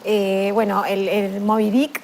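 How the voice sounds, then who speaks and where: A woman's voice: medium pitch, little pitch movement, takes it slowly, a little mellow, a little hiss or hum. A woman, co-hosting a book program in an enthusiastic, casual chat.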